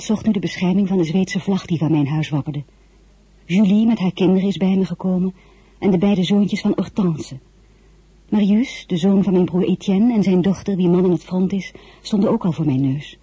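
A very breathy, mellow-voiced crown princess in a radio drama. She is gracious and weary as mistress of the house, soft-spoken, with worry under her words. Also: mid-range voice. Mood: composed, exasperated. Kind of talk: radio drama acting with diary narration.

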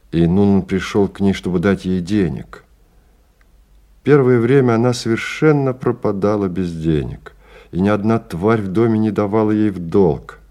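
A man narrating a literary radio drama in a measured storytelling manner. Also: low-pitched, warm tone.

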